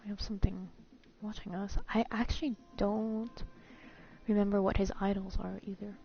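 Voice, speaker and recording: A woman's voice: mid-range voice, little pitch movement, normal speed. A woman streaming a game, giving rambling, informal live commentary as she plays. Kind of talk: casual live playthrough commentary. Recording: slightly dull audio, faint music. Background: faint game music.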